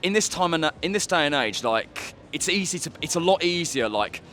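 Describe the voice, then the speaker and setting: A man's voice: a little high, animated, moderate pace, a bit bright, faint music behind. A man in his twenties giving earnest, casual opinions as an interviewee, often saying "like". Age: 20s. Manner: earnest, peppered with filler "like". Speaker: street interviewee. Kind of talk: casual opinion talk to camera.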